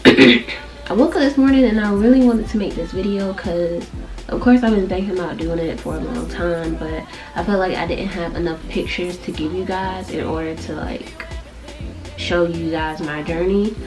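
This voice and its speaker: A young woman with a low, nasal voice, stuffed up from allergies, chatting to camera in a loose, rambling way. Music plays clearly behind her. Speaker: vlogger. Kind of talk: casual chat to camera.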